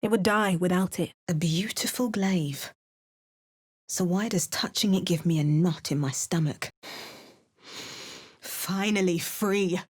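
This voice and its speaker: A woman's voice: fairly low pitch, unhurried, long pauses, darkish tone, slightly rough, no room sound. An actress voicing a wary, determined female adventurer hero with a British accent, trading dry asides with storybook narration. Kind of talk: video game character lines.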